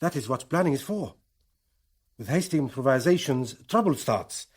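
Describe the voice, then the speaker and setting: An actor playing a stern, self-assured militant leader in a radio drama, speaking in authoritative, clipped declarations with a husky voice. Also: male voice, mid-range voice, lively intonation, moderate pace, darkish tone. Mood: stern, lecturing.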